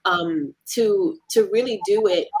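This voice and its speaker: A woman being interviewed about her team's work, talking casually in earnest, halting phrases. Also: mid-range voice, husky, rough recording. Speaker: audio drama team member being interviewed.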